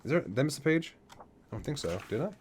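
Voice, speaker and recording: A man's voice: mid-range voice, big pitch swings, fast, a little mellow, a little hiss or hum. A man reading aloud in an ASMR style, stumbling over words and correcting himself between casual asides. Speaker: ASMR video host.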